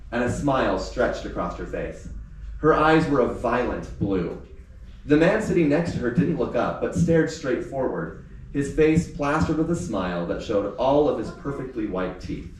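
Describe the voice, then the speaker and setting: A man's voice: medium pitch, sing-song, normal speed. A man, an author, talking informally and reading his story aloud at a live book event. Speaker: teacher. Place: indoor venue with a small live audience. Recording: very echoey room, distant mic, faint noise.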